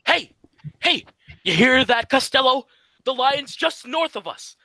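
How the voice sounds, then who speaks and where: Very high-pitched male voice, creaky, bossy exasperated comedy straight man acted by a man, brisk, radio sketch. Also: lively intonation, bright, forceful tone, dry recording, heavily acted. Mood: scolding, urgent.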